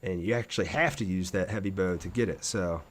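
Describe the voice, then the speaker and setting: A man's voice: deep voice, brisk, a little hiss or hum. A man streaming a game, chatting casually and in a laid-back way with his viewers as he plays. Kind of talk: casual livestream chat over gameplay.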